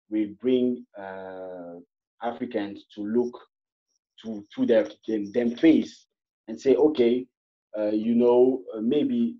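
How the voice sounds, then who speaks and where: A man from Cameroon, a guest in a panel discussion, who talks in a halting way with many fillers and restarts. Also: medium pitch, mellow, husky. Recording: muffled online call audio.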